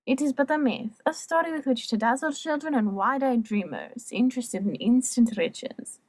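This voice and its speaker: A woman playing an alluring, worldly courtesan who tells tales in a soft, measured, theatrical way for an audiobook drama. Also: sits high, no echo. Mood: dismissive. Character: alluring young female courtesan telling legends, worldly and teasing.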